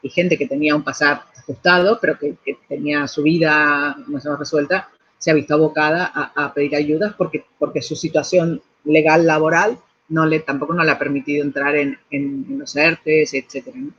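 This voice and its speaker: A woman's voice: deep voice, fairly even intonation, brisk, fairly bright tone, slightly rough, muffled recording. A middle-aged woman from Argentina with an Argentine Spanish accent, an architect answering interview questions thoughtfully, restarting phrases and adding tag questions. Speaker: architect and urban planner.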